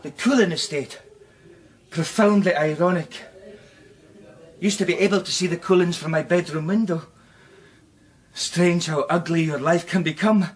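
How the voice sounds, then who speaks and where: An actor playing a brooding, guilt-ridden Scottish composer, intense and defensive, in a radio drama. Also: male voice, fairly high pitch, normal speed, pauses often, lightly acted. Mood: bitter.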